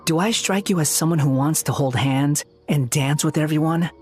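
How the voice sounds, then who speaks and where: A man's voice: fairly high pitch, moderate pace, faint music behind, natural voice. A man voicing an aloof, solitary young scholar in an amateur game voice-over, dry and dismissive.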